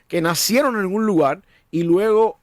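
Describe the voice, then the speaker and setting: A man's voice: sits high, moderate pace, dry recording, clean studio sound. A man from Cuba, a pastor, talking earnestly in a discussion with a preacher's cadence and frequent restarts. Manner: earnest, preacherly, repeats words when starting. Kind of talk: conversational discussion.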